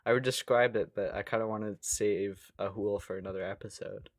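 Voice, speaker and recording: A man's voice: lowish voice, fairly even intonation, a little fast, no echo, studio quality. A young man co-hosting a podcast in casual comedic chat, jokey and self-deprecating, sometimes reading questions aloud. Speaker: podcast co-host.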